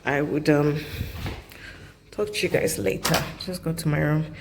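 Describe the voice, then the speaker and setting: Woman, Nigerian accent, 20s, casual vlog chat, unhurried, easy laugh. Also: low-pitched. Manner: chatty, laughs easily, slow and unhurried. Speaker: vlogger. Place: hotel corridors and grounds.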